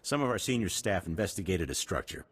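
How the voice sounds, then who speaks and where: An actor playing a brisk, determined news editor in a video game, husky-voiced, businesslike and emphatic. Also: male voice, low-pitched, big pitch swings, dry recording, full character voice. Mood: brisk, grave.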